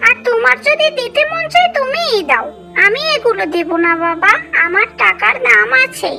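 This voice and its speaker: A woman voicing a haughty, spoiled young rich girl in a cartoon, speaking in a loud, proud, emphatic way. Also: very high-pitched, animated, moderate pace, vocal fry, faint music behind, full character voice. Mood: scornful. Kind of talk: moral cartoon voice acting.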